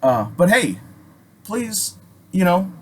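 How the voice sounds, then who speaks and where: A man's voice: high voice, sing-song, takes it slowly, a little hiss or hum. A middle-aged man telling a personal story in a casual, rambling way, swearing often and hammering his points home with emphasis. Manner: profane, emphatic, digressive. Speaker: storyteller and video host.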